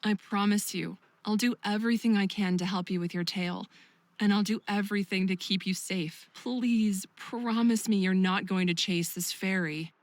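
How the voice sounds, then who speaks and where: A woman's voice: medium pitch, normal speed, no echo, lightly acted. A woman playing a caring, protective young best friend in a visual novel game, earnest and emotive. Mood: pleading, worried.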